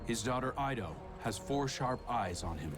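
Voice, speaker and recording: An actor playing an earnest young warrior leader, narrating a game cutscene in a quiet, measured way. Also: male voice, mid-range voice, lively intonation, natural voice. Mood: reflective. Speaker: new Hunter Vanguard. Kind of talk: cutscene narration over music. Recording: game cutscene with loud music.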